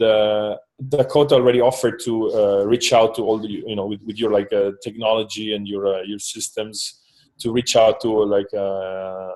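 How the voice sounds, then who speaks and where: A man's voice: on the low side, a little mellow, rough recording. A man from Switzerland, a startup co-founder, talking through a partnership in a business meeting, hesitant with many fillers and restarts.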